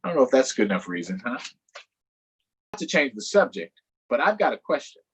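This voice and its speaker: A man's voice: medium pitch, big pitch swings, fairly quick, a little hiss or hum. A man hosting a storytime, reading to children and adding playful asides to the listeners. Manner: playful, addresses young listeners. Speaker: storytime host, reader. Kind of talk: animated children's read-aloud with asides.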